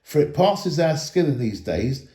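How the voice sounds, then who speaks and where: A man's voice: on the high side, sing-song, measured pace, warm tone, reverberant. A man voicing a proud, stern dwarf heir of an old line in a storybook, with formal, archaic phrasing. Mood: lofty, troubled.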